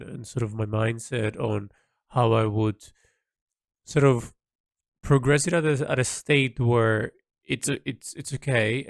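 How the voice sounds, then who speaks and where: A man recording an unscripted, reflective audio log, speaking in a halting, rambling way as he thinks aloud and repeats himself. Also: mid-range voice, gravelly, dry recording, clean studio sound. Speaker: audio log diarist, creative hobbyist.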